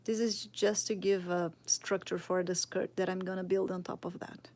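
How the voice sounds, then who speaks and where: A woman's voice: lowish voice, level tone, darkish tone, a little hiss or hum. A woman in her thirties from Brazil with a Brazilian Portuguese accent, casually teaching sculpting live and thinking aloud, often dropping to a murmur as she works. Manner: slow, thinking aloud, drops to murmurs while working. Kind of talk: casual live tutorial, answering chat.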